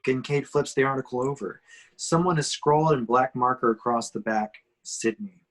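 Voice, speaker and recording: A man's voice: medium pitch, moderate pace. A man reading scene descriptions as the narrator of an amateur script table read. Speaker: reader of stage directions.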